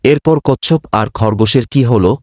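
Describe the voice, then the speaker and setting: A man's voice: mid-range voice, moderate pace, darkish tone, no echo. A man narrating the moral at the end of a children's cartoon.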